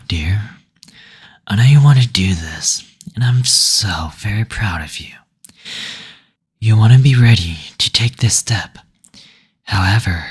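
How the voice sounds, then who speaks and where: Male demon played by a man for intimate audio roleplay: low, very breathy, unhurried, tender and soothing. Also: heavily acted. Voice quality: breathy, husky. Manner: slow, soothing, tender, attentive. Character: gentle teasing male demon, brothel receptionist.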